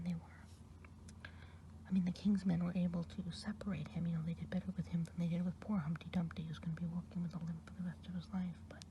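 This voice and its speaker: A woman voicing a kindly, chatty good witch of the woods, whispering softly and rambling in an ASMR roleplay. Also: on the low side, noisy background, close to an everyday voice. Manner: soft whispering, rambling gossip. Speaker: ASMR performer. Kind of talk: ASMR roleplay eye exam.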